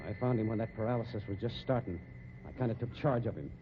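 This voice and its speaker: A man's voice: on the low side, fast, over music, lightly acted. An actor playing a shady, hot-tempered carnival showman with an American accent and slangy, blustering talk in a 1950s radio drama. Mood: defensive. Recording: dull, noisy 1950s radio recording.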